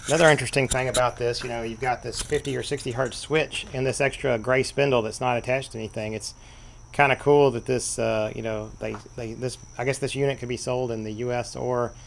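Man, gravelly voice, mid pitch, rambling easygoing vlog talk, filler-heavy. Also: a little hiss or hum. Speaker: vintage tape deck repair vlogger. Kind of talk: casual show-and-tell narration. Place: sunny room at home, at a desk.

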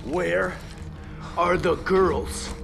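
An actor playing an aggressive, hot-headed mercenary in a game cutscene, forceful and urgent. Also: male voice, high voice, steady tone, extremely slow, vocal fry, music in the background, heavily acted. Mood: interrogating, threatening. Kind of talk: video game cutscene acting.

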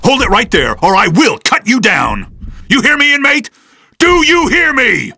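An actor playing a hostile, foul-mouthed prison guard in a video game, barking orders and threats. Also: male voice, very high-pitched, sing-song, moderate pace, vocal fry, pushed and bright, dry recording, exaggerated voice. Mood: shouted threat.

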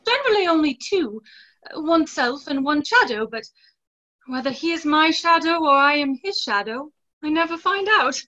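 A woman's voice: high voice, lively intonation, moderate pace, bright, forceful tone. An actress in her twenties playing a dreamy, fanciful young woman in a play reading over a video call. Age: 20s. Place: small indoor room, video call. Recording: dull video-call audio.

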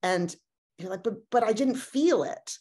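A woman's voice: medium pitch, expressive, unhurried, darkish tone. A woman speaking as an actor in a casual panel discussion on a video call, conversational and emphatic. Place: indoors at home on a video call. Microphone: video call microphone.

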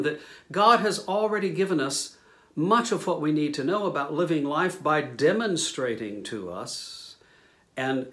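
A man in his sixties preaching a sermon as a pastor, with measured, deliberate phrasing and pauses. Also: fairly high pitch, a bit bright. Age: 60s. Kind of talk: sermon, teaching scripture to camera. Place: small indoor room, speaking to camera.

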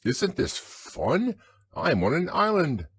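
A man voicing an eager, kind, excitable young boy in a storybook, with an earnest manner. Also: a little high, slow pace, breathy edge, dry recording, pitched above the performer's own voice, full character voice. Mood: excited, delighted.

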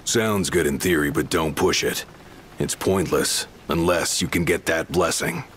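An actor playing a gruff, skeptical bodyguard in a video game, blunt and casual in manner. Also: male voice, rumbling low, expressive, measured pace, fairly bright tone, slight background noise, exaggerated voice.

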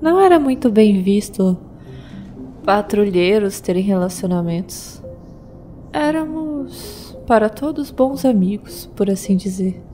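A woman with a Brazilian accent voicing a pregnant young fighter who talks with halting restarts, in an audio drama. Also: mid-range voice, expressive, mellow, soft background music, close to an everyday voice. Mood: worried. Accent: Brazilian Portuguese. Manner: hesitant, stumbling restarts.

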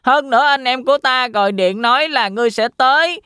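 Clear, high, carefree piglet. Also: female voice, fairly quick, fairly bright tone, no echo, clean studio sound. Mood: carefree, dismissive. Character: carefree, dismissive young male piglet, middle brother. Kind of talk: children's fairy tale cartoon dub.

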